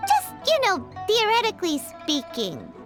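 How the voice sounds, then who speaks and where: Childlike cheerful game creature, very squeaky, drawn-out delivery, music underneath. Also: female voice, exaggerated voice. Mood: awkward, coy. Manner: animated, exclamatory. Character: tiny cheerful floating companion creature, childlike and curious. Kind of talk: video game voice acting. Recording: music playing behind.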